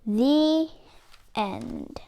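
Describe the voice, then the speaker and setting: A woman reading a children's story aloud as an expressive narrator. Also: fairly high pitch, very slow, creaky. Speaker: children's book reader.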